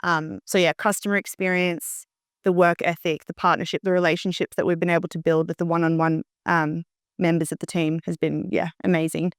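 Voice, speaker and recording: A woman's voice: fairly low pitch, little pitch movement, a little fast, smooth, no echo, clean studio sound. A woman in her thirties answering conversationally as a panel guest, peppering her speech with "like". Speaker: panel guest, tech company partner representative. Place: indoor studio, seated on a sofa. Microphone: clip-on lapel.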